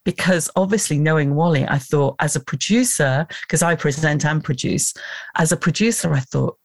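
A woman, a documentary producer and presenter, telling animated stories in an interview and laughing often. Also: low voice, normal speed, no room sound. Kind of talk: conversational interview storytelling.

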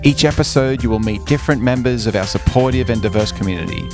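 A man's voice: mid-range voice, quick pace, music in the background. A man hosting an interview podcast, moving between scripted introductions and questions for a guest. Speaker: podcast host, head of school.

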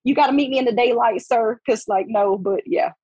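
Chatty young woman with a husky voice. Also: fairly high pitch, a little mellow, dry recording. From Alabama. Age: 20s. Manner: chatty, joking, quick asides. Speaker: podcast guest. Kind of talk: casual chat.

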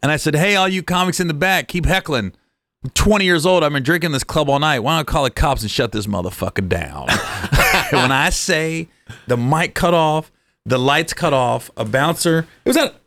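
A man in his forties, a stand-up comedian, telling stories from his career in a casual chat, animated and acting out voices. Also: fairly high pitch, a little fast, no room sound.

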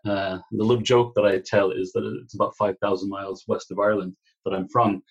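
A man's voice: fairly low pitch, flat delivery, fairly quick, husky. A man, a guest chatting casually and rambling with many restarts. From Texas. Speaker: guest, business coach. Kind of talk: casual interview chat. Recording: dull lo-fi remote line.

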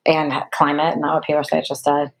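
A woman co-hosting a podcast, gushing warmly and enthusiastically as she introduces a guest. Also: deep voice, completely flat delivery, brisk, creaky. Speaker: podcast co-host. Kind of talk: casual podcast chat.

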